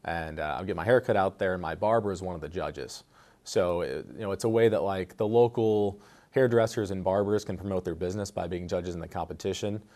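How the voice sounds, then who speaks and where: A man's voice: low voice, a little fast. A man, a teacher being interviewed, answering casually and rambling a bit with lots of fillers. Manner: relaxed, rambling with many fillers.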